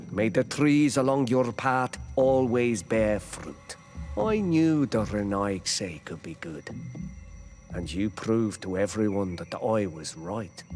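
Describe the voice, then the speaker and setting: An actor playing a dignified, shrewd village chief in a fantasy game, speaking with deliberate courtesy. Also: male voice, mid-range voice, darkish tone. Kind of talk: fantasy video game acting.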